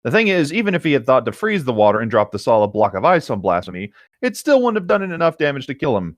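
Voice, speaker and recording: Lively man on a podcast, dry sound. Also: mid-range voice, fast, no room sound. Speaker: podcast co-host. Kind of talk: casual chat and reading stories aloud.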